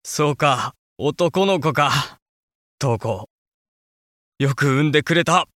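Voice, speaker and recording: A man's voice: a little high, expressive, takes it slowly, a bit bright, no echo, clean studio sound. A male actor playing an earnest young samurai lord and new father, speaking formal, archaic Japanese in a historical audio drama. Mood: joyful, tender.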